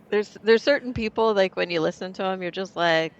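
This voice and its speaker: A woman's voice: medium pitch, animated, normal speed, muffled recording. A woman co-hosting a livestream, chatting casually in loose, hesitant phrasing peppered with "like". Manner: hesitant, filler-heavy phrasing with frequent "like". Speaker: livestream co-host. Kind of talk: casual chat.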